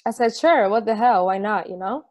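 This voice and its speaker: A woman reading a calm, wry football player. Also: medium pitch, moderate pace, close to an everyday voice. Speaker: actor in a table read. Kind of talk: amateur video-call table read.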